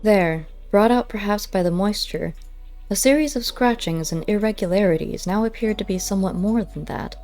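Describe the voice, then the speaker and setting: A woman narrating a first-person story as an audiobook, in measured, literary storytelling. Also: mid-range voice, a little mellow, faint music behind.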